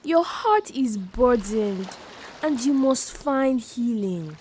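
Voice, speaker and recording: A woman voicing a gentle, wise old female village elder, speaking softly and consolingly. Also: on the high side, animated, slight background noise. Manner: soft, slow and consoling.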